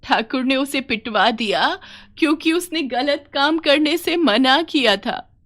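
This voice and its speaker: A woman plays an old village grandmother in a moral tale, sorrowful and tender. The voice is raised high and slow, with drawn-out, plaintive pleading that swings widely. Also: animated, a bit bright. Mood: weary, gentle. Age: older.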